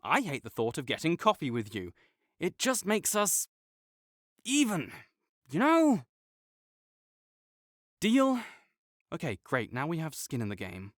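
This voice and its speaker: Man doing a sarcastic young academic rival, defensive, fairly high, animated voice, dry close mic. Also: measured pace, pauses often, no echo. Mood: bickering. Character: prickly young male academic rival, grudgingly kind. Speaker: voice actor in audio roleplay. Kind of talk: audio roleplay acting to a silent listener.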